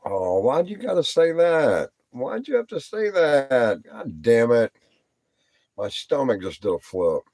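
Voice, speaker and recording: A man's voice: medium pitch, very animated, a little slow, a little mellow, no echo, clean studio sound. A tired man rambling and swearing on a late-night live stream, lying down as he talks and repeating himself. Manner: talking while lying down.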